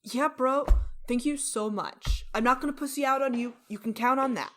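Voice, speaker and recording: An actress playing a young college senior at a job interview in a radio play, emphatic and colloquial. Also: female voice, sits high, moderate pace, dry recording, studio quality, soft background music, lightly acted. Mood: cocky. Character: female college senior job applicant, turning brash bro.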